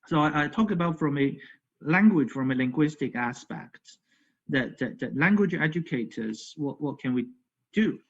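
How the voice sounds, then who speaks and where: The slightly high voice of a male academic, speaking haltingly at an online conference, heard through a muffled computer connection. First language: not English. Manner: halting, repeats words, checks with "okay" and "right". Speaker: academic presenter, language educator. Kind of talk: conference lecture. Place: virtual conference call. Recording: dull, lo-fi online audio.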